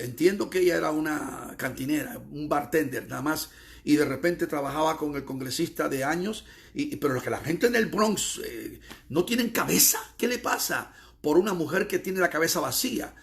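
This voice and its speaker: Middle-aged US-born evangelist, raspy fry, a bit high, forceful sermon delivery with repetition. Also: male voice. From United States. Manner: emphatic, repeats phrases, stretches key words. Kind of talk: preaching monologue.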